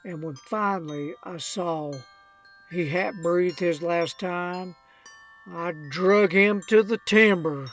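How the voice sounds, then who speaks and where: A woman voicing a crusty, wryly unrepentant old redneck man, telling a comic rhymed storybook tale in a folksy, drawn-out way. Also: on the low side, soft background music. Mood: matter-of-fact, sly. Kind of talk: comedic rhymed storybook narration.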